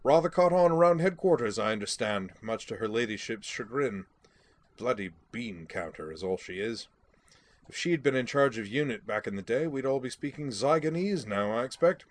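A man voicing a brisk, dry-humoured older British brigadier. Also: medium pitch, lively intonation, a little hiss or hum, pitched above the performer's own voice, close to an everyday voice. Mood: wry.

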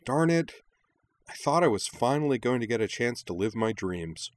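A man's voice: mid-range voice, big pitch swings, moderate pace, pitched above the performer's own voice. A man voicing an exuberant, playful young woman racer in an audiobook, gushing and theatrical. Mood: mock despair.

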